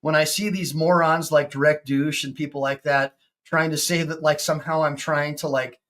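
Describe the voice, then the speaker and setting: A man in his fifties, a personal injury attorney hosting a casual video-call chat, excitable and full of stammering restarts. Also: fairly high pitch, normal speed. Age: 50s.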